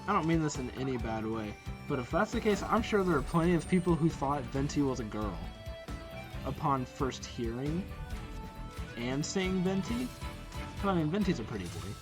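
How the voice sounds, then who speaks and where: A man's voice: a little high, big pitch swings, moderate pace, over music. A young man giving casual game reaction commentary, informal and exclamatory as he muses aloud. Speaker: video game reaction host.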